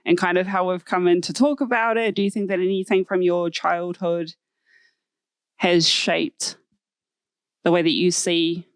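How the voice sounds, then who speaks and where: Probing guest interviewer, mid-pitched voice. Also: level tone, measured pace, pauses often, clean tone, no echo. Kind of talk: interview questions.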